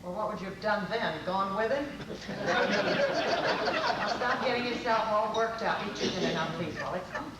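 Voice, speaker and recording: A woman's voice: medium pitch, normal speed, long pauses, a loud crowd, natural voice. An older woman playing a practical, nagging but solicitous housewife in a live old-time radio comedy sketch. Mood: impatient, practical. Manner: solicitous, nagging, repeating herself. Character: fussing, practical housewife coaxing her husband. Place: convention stage before a live audience. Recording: echoey hall, distant mics, noisy.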